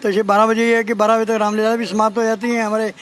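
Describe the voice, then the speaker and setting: Fast, high-pitched man being interviewed. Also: flat delivery, fairly bright tone. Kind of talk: spoken interview answers.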